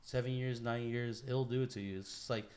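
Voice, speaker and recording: A man's voice: medium pitch, steady tone, a little mellow. Slangy man in casual podcast chat. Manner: slangy, swearing, halting repeated starts. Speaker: podcast co-host.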